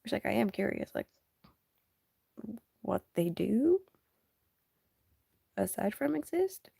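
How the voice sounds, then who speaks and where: Hoarse, whispery young woman streaming. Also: mid-range voice, sing-song, slow pace, no room sound, studio quality. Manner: soft, often half-whispered, hesitant and rambling. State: sniffly, voice going hoarse. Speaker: live-streaming artist. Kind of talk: casual live stream chat while drawing.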